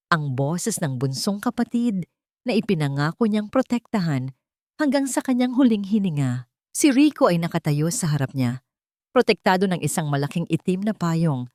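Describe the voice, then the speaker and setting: A woman's voice: fairly low pitch, darkish tone, dry recording, studio quality. A woman narrating a scripted dramatic story in a solemn, melodramatic manner.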